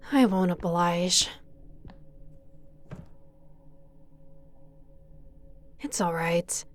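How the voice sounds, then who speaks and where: Slow, menacing woman captor acted by a woman. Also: fairly low pitch. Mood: condescending. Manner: slow, taunting, drifting into breathy whispers. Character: menacing, mocking female lighthouse keeper taunting her captive. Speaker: audio roleplay voice actor. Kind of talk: thriller audio roleplay, slow intimate monologue.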